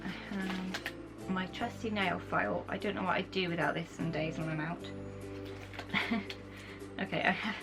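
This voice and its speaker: A young British woman, croaky from a cold, chatting enthusiastically as she shows off her things to camera. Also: fairly low pitch. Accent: British English. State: croaky from a cold, sore throat. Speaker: vlogger. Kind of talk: casual chat to camera. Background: background music.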